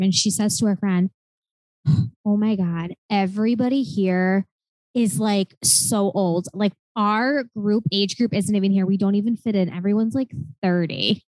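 A woman in her late twenties co-hosting a podcast, telling stories in a chatty, animated way full of "like" fillers. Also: mid-range voice, normal speed, dry recording, rough recording. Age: 20s. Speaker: podcast co-host. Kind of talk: casual chat.

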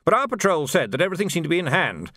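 A man's voice: a little high, big pitch swings, very fast, sharp tone, no echo, clean studio sound, voice pushed up, exaggerated voice. A man voicing a newly promoted army major who sounds prim and flustered. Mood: uneasy.

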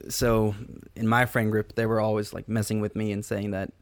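A Norwegian man, first language Norwegian, telling a relaxed anecdote in English with a Norwegian accent. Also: lowish voice, moderate pace, no room sound. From Norway. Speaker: guest. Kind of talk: casual storytelling.

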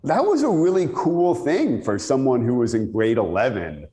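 A Canadian man speaks in an echoey, reverberant space. His radio-style voice moves in lively swings of pitch as he tells anecdotes in a conversational interview manner. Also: moderate pace. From Ontario, Canada. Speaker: interview guest. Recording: echoey room with long reverb.

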